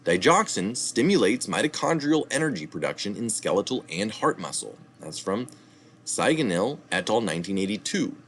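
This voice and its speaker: A man reading a health article aloud, pausing to add citation asides and correct his own slips. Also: lowish voice, big pitch swings, fairly bright tone, a little hiss or hum. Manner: reads steadily, adding asides and correcting slips.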